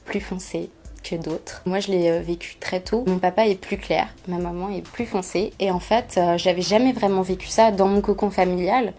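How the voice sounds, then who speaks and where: A young woman giving a personal testimony in an interview, speaking softly and hesitantly with many pauses and fillers. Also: lowish voice.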